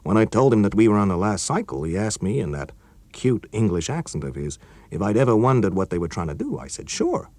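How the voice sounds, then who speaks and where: A man's voice: fairly low pitch, sing-song, fairly quick, darkish tone, no room sound. A man reading a story, voicing an urgent, nervous young male technician in a raised, jumpy voice.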